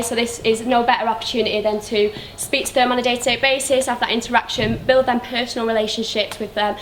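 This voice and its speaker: An upbeat young woman pitches herself for a student union post, her voice a little high and full of quick uhs. A faint noise sits underneath her. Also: fairly bright tone. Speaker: student union officer and candidate. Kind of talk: hustings speech.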